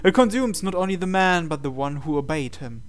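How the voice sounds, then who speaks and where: Clear, higher-pitched man narrating a game. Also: lively intonation, brisk. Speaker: host reading a game aloud. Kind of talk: dramatic narration of visual novel text.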